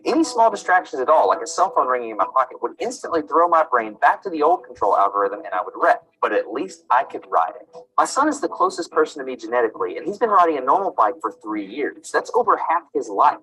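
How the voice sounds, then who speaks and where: A man from the United States narrating a science video, telling his own experiment story in an animated, self-deprecating way. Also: mid-range voice, fast talker, low-quality recording. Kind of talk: video narration with on-the-spot commentary.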